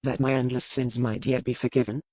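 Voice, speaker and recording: A man playing a teasing, mocking pirate captain in an audiobook romance, with a wry, measured delivery and a dry close sound. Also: mid-range voice, level tone, darkish tone, no echo, close to an everyday voice. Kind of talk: audiobook character dialogue.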